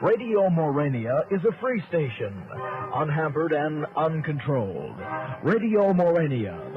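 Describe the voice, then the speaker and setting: A man giving a solemn, proclamatory station announcement in a formal radio style. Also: on the high side. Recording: dull lo-fi shortwave radio recording, music behind. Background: music.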